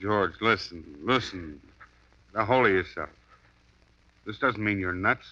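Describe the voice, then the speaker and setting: A wisecracking, breezy private detective in a 1950s radio play. His fairly low voice carries a hard, bright edge and sits close and dry. The sound is dull and lo-fi, like an old radio broadcast. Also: unhurried, dry recording. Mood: firm. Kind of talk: 1950s radio drama acting.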